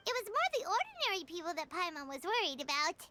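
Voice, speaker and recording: A woman voicing a small, cheeky floating fairy companion with a high, squeaky, childlike voice, in a game cutscene. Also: very animated, fast talker, bright, forceful tone, a little hiss or hum, heavily acted. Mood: chirpy. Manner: talks about herself in third person.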